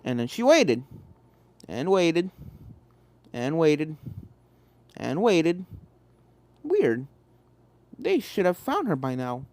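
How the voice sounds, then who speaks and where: Lively woman reading a story aloud. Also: sits low, takes it slowly, pauses often. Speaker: narrator. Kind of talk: expressive scripted story narration. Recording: music behind the voice.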